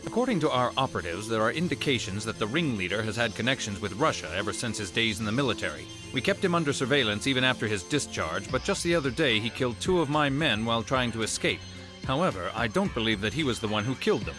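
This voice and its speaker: A cold government intelligence chief played by a man in an anime game. His mid-pitched voice is formal and condescending, with music clearly behind it. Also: very animated, lightly acted. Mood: cold, reproachful. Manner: measured, formal, reproachful. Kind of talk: English anime game dub acting.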